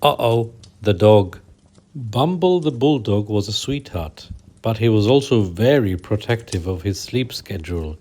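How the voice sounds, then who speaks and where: A man hosting a children's bedtime story show, narrating in a gentle, soothing, unhurried way. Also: fairly low pitch, animated, breathy edge.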